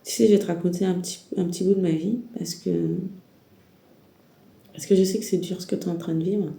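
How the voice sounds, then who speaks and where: A woman with a stuffy head cold and a sore throat talks through a tarot reading in a low-energy, dark, gravelly voice that barely rises or falls. She restarts her phrases and hunts for words as she speaks directly to the listener. The voice bounces around an echoey room. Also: fairly even intonation, fairly quick. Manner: hesitant, rambling, many restarts and fillers. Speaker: tarot reader. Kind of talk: spiritual guidance monologue to the viewer.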